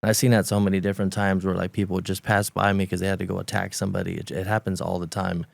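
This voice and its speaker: A very low, gravelly man's voice with a Romanian accent, speaking with a flat, even delivery. He talks in his forties as a podcast guest, stopping and restarting as he recalls his past and laughing lightly now and then. The recording is close and dry. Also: dry recording. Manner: halting, repeats words while recalling, laughs lightly. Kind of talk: candid conversational storytelling.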